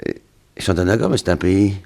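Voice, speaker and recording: A man's voice: sits low, fairly even intonation, a little fast, vocal fry, darkish tone. A man playing a worn-out, mournful former captive in a hospital bed, hesitant and weary, in a 1960s French radio mystery. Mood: evasive. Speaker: actor in a radio serial.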